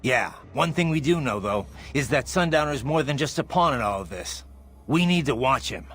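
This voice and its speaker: An actor playing a confident, wry cyborg mercenary in a video game. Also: male voice, medium pitch, animated, moderate pace, a bit bright, husky. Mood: serious. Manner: cool, wry. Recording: game music behind.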